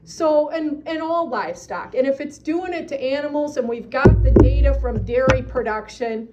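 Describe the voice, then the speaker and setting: A woman's voice: high voice, moderate pace, dull audio, very noisy. A woman speaking earnestly at a county meeting, pressing officials to act. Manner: earnest, persuasive advocacy. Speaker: citizen addressing county supervisors. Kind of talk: public comment at a meeting.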